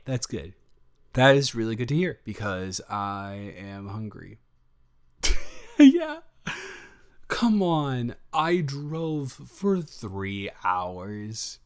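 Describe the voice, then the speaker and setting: An eager golden retriever boyfriend, acted out by a man in an improvised roleplay audio. He has a mid-pitched male voice and gushes affectionately, rambling and tripping over his words in a playful, natural way. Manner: gushing, rambling, stammering, playful.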